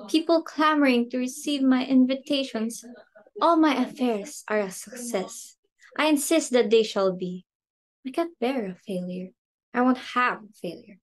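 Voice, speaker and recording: Woman acting an imperious, high-voiced society hostess. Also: natural voice. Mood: indignant. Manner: theatrical, indignant, exclamatory. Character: imperious, short-tempered, status-obsessed society hostess and mother. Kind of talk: amateur dramatic play reading.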